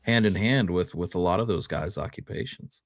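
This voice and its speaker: A man's voice: deep voice, lively intonation, moderate pace. A man co-hosting a podcast, chatting casually and reacting in conversation. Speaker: podcast co-host.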